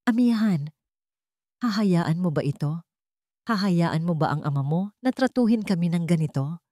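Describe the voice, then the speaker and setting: A woman's voice: fairly low pitch, expressive, moderate pace, mellow, no echo, clean studio sound, close to an everyday voice. A woman voicing a frightened but defiant mother in a radio drama, firm and protective with forced bravery. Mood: pleading. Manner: pleading firmness, forced bravery. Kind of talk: Tagalog radio drama storytelling.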